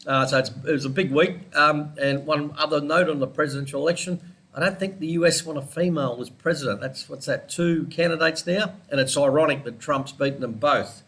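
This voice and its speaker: A man's voice: fairly high pitch, fairly quick, a bit bright. An Australian man with a congested head cold, chatting casually through a weekly forex trading analysis and rambling off on side topics. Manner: chatty, rambling, digressive, with small laughs.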